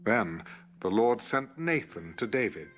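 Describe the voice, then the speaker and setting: A man's voice: on the low side, very animated, unhurried, a bit bright. A man narrating a dramatized audio scripture reading.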